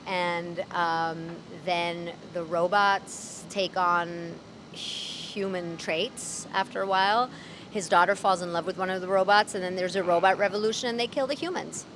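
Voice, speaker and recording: A woman talks candidly as an interview guest out on a city street. Her speech is casual, she laughs easily, and she slips in dark humor. Faint traffic hums in the background. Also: lowish voice, fairly even intonation, unhurried. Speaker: interview guest, voice actor and comedian.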